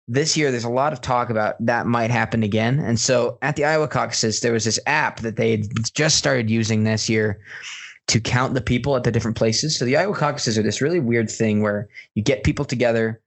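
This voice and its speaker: A man's voice: medium pitch, a little fast. A man co-hosting a podcast, explaining things step by step in an informal, hedging way. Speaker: podcast co-host. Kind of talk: casual explanatory podcast chat.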